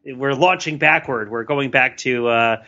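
Podcast guy, bright sharp voice, quick asides, kinda muffled lo-fi audio. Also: mid-range voice. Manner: brief backchannels, quick asides. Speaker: podcast co-host. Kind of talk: casual discussion. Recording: dull lo-fi sound.

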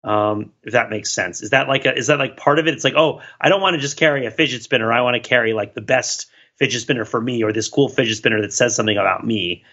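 A man with a bright, forceful voice of middling pitch chats as a podcast host. He is inquisitive, reasoning out loud as he goes. The recording is a little dull and lo-fi. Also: fast, few pauses. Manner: inquisitive, thinking aloud in analogies. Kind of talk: casual chat.

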